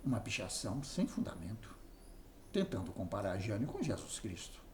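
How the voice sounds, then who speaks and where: A man with a Brazilian accent narrating a written memoir aloud in an expressive reading style. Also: fairly low pitch, moderate pace. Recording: roomy echo, faint background noise.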